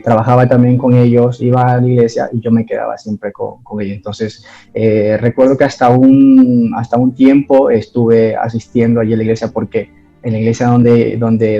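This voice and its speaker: A man's voice: medium pitch, vocal fry, dark tone, dull audio. A man from Ecuador, giving his church testimony in an interview and talking hesitantly, with many fillers and restarts.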